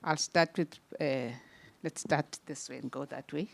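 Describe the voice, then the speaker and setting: Female ceremony presenter, South African accent, low register, emphatic and encouraging, slightly noisy live recording. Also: steady tone, a little slow, vocal fry. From Eastern Cape, South Africa. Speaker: presenter giving thanks and honours. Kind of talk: unscripted ceremony speech. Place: live event venue.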